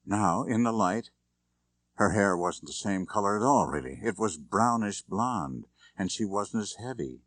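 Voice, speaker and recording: Deep-voiced male suspense narrator. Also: expressive, measured pace, fairly bright tone, dry recording. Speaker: audiobook narrator. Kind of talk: dramatic scripted narration of a suspense novel.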